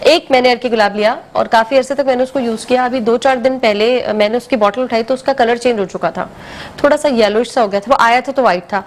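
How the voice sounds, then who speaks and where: Female morning show host, high and fast, lively chatty questioning. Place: TV studio.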